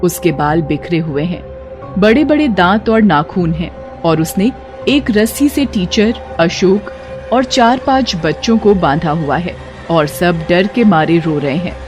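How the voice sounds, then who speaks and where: A woman's voice: lowish voice, animated, a little slow, over music. A woman delivering scripted narration for a horror story.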